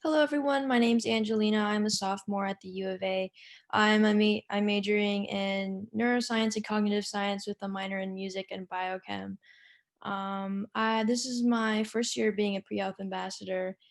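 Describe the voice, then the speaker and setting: A woman's voice: mid-range voice, steady tone, normal speed, creaky. A woman of about twenty, a student ambassador, introducing herself informally and a little hesitantly. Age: around 20.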